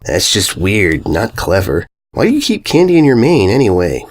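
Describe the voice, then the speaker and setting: A man reads as a grumpy grown pony mare in a storybook tale. The delivery is snippy and incredulous, with lively swings of pitch. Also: normal speed. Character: exasperated, grumpy adult cartoon pony mare.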